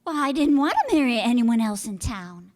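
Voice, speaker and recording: An actress playing a devoted young wife in a radio play, gentle, sincere and a little teasing. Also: female voice, a little high, lively intonation, moderate pace, natural voice. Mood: tender, teasing.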